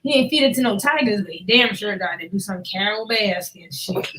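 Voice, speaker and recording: A woman's voice: medium pitch, expressive, a little fast, a bit bright, poor audio. A woman from New York hosting a casual chat podcast, talking in a slangy, profane way. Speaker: podcast host.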